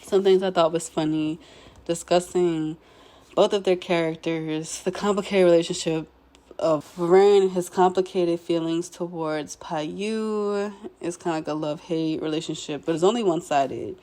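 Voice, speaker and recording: A young woman, hoarse and a bit nasal while recovering from illness, casually recapping a show episode in a laid-back way, clearing her throat now and then. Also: on the low side, steady tone, moderate pace, mellow. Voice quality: slightly nasal. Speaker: show commentator.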